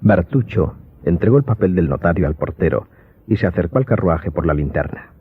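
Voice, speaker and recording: A man's voice: low voice, lively intonation, brisk, slightly rough. A man narrating a scripted audio drama as its storyteller. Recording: muffled, band-limited audio with faint music.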